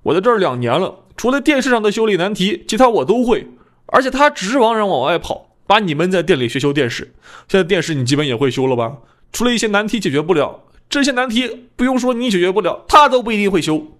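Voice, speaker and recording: A man's voice: high-pitched, very animated, fast talker, a bit bright, voice pushed up. A man voicing a disgruntled, cheeky fat apprentice in an audiobook, with an emphatic, complaining delivery. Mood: aggrieved.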